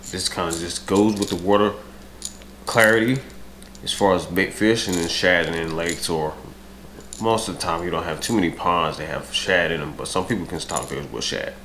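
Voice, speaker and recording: A man's voice: deep voice, moderate pace, long pauses, noisy background. An American man in his thirties talking casually to camera at home, his voice stuffy and congested from a cold. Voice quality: stuffy nasal voice. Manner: frequent throat clearing. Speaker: fishing vlogger. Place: home dining room with large windows.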